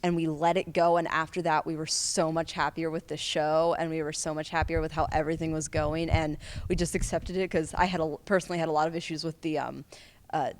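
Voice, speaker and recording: A woman's voice: sits low, level tone, fairly quick. A young woman, a student actor, chatting casually and animatedly in an interview. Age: young adult.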